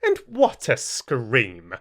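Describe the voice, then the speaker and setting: A man voicing a sharp-tongued maidservant who speaks with scorn and disgust in a storybook reading. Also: mid-range voice, wildly swooping pitch, extremely slow, exaggerated voice.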